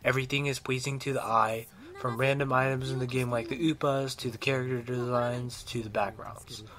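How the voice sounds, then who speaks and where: Male game reviewer, medium pitch, delivering scripted, opinionated commentary with a fan's enthusiasm. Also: flat delivery, a little fast, a bit bright.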